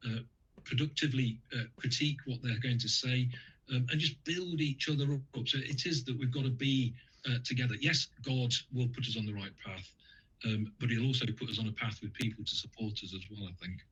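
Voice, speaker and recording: Husky-voiced man, hesitant radio guest. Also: medium pitch, fairly even intonation, fairly quick, a bit bright, muffled recording. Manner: hesitant, many fillers and restarts. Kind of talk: radio interview, conversational.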